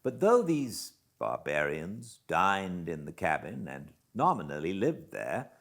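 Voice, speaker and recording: A British man reading a classic novel aloud as an audiobook narrator, in a measured, theatrical manner with dramatic pauses. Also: very deep voice, fairly bright tone. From Britain. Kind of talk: expressive literary audiobook narration.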